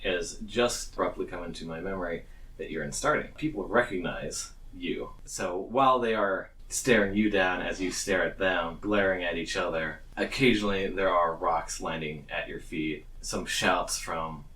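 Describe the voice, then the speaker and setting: A man's voice: low-pitched, normal speed, pushed and bright. A man narrating a tabletop roleplaying game as game master, bantering and joking as he goes, recorded at a distance. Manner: jokey, often breaking off into laughter.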